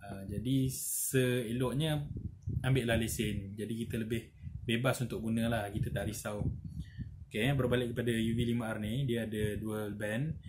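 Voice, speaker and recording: A man's voice: fairly low pitch, steady tone, normal speed, background noise. A man with a Malaysian accent giving a casual, explanatory product review, often adding little checking tags. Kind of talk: casual unboxing and review talk.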